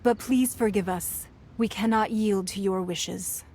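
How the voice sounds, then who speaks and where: An actress playing a poised, shrewd businesswoman who leads a fantasy city, speaking in a measured, formal, diplomatic way in a game. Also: mid-range voice, a little mellow, a little hiss or hum, lightly acted. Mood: composed, diplomatic. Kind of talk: scripted fantasy game dialogue. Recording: music under the voice.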